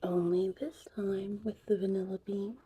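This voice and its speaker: A woman whispering softly and breathily in an unhurried, chatty ASMR witch potion tutorial roleplay. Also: mid-range voice, little pitch movement, slight background noise. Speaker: ASMR host.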